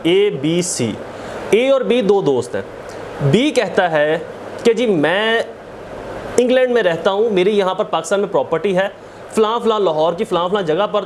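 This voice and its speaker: Male lecturer, Pakistani accent, high voice, didactic and repetitive for emphasis, slightly noisy recording. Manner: didactic, repeats phrases for emphasis. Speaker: law lecturer. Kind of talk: explanatory lecture mixing read-out statute with examples.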